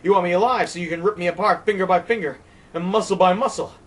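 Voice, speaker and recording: A man's voice: fast, far from the mic. A man voicing a frantic, terrified man who rages and pleads against the wind. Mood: feverish. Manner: shrill, shouting, panicked.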